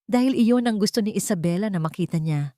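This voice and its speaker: Narrator doing a sad worn-out young woman in a romance drama, pleading, lots of pitch movement, dry sound. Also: expressive, fast, warm tone, dry recording, lightly acted. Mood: anguished. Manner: pleading, sorrowful. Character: heartbroken, weary young woman in love drama.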